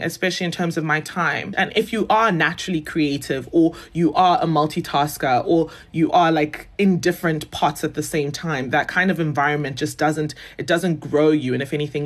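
A woman in her twenties, chatting casually as an interview guest and laughing often. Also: low-pitched. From South Africa. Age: 20s. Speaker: guest, beauty content creator.

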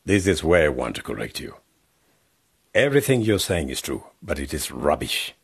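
An actor playing a scheming male politician who tries to buy off young journalists, talking down to them with a husky voice. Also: rumbling low, very animated, moderate pace, no echo, clean studio sound, full character voice. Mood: persuasive. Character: scheming middle-aged male politician offering bribes. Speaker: member of parliament. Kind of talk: radio drama acting.

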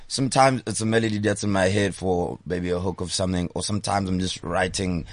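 A man's voice: low-pitched, fairly even intonation, a little fast, no room sound. A man, a rapper, chatting casually as a guest, laid-back and hesitant with lots of fillers. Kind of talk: casual interview chat.

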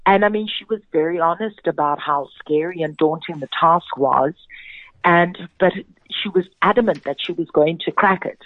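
A woman's voice: fairly low pitch, moderate pace, dry recording. A South African woman, a theatre director, speaking as a guest in a radio interview. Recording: narrow phone-like sound.

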